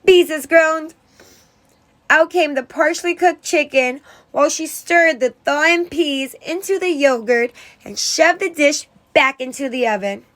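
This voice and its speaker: A woman with a high-pitched voice reads a children's story aloud as a teacher would. Her narration is expressive, putting dramatic emphasis on key words and character moments. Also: a little slow.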